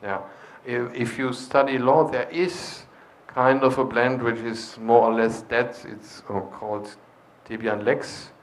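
A man's voice: medium pitch, fairly even intonation, slow, few pauses, low-quality recording. A man moderating a casual workshop discussion, talking with fillers and restarts. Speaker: session moderator.

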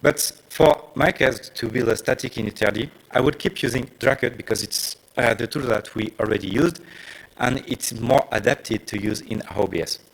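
A man from France with a French accent, giving a technical conference presentation in hesitant, non-native phrasing with frequent pauses. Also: medium pitch, vocal fry, breathy edge, poor audio. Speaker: conference speaker.

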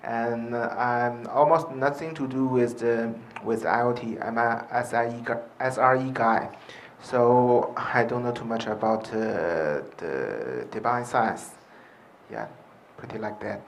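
A man's voice: mid-range voice, measured pace, a little hiss or hum. A man from Shanghai introducing himself at a workshop, hesitant, with fillers and restarts. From Shanghai, China. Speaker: participant, engineer. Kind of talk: self-introduction.

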